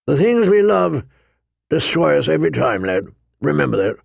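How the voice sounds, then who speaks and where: A man narrating an audiobook, voicing a gruff, grumbling, weary old lord commander with a growling, rumbling voice. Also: big pitch swings, normal speed, dry recording, studio quality, full character voice. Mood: bitter, wistful. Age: older.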